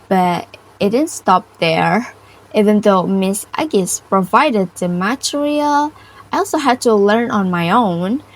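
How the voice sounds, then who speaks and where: A young woman with an Indonesian accent giving a prepared personal reflection to camera in halting, careful non-native English. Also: medium pitch, low-quality recording. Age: young adult. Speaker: university student.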